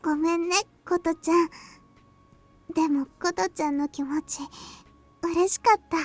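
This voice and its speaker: A woman voicing a gentle, earnest little girl in an anime-style visual novel game. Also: very high voice, fairly even intonation, fairly quick, soft background music. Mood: earnest, grateful.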